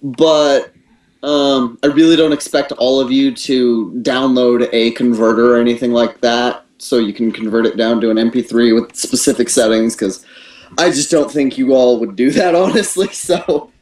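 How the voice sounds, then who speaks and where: A man's voice: medium pitch, steady tone, moderate pace, a little mellow. A man chatting casually in an online meeting, giving informal and candid technical advice. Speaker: audio editor reviewing recordings. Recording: roomy echoing voice-call recording.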